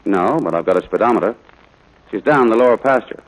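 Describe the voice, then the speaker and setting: Stern, commanding rancher father, animated delivery. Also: fairly low pitch, fairly quick, rough recording. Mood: brisk, confident. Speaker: actor in a radio play. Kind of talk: 1940s radio drama acting. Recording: vintage 1940s radio recording, music at times.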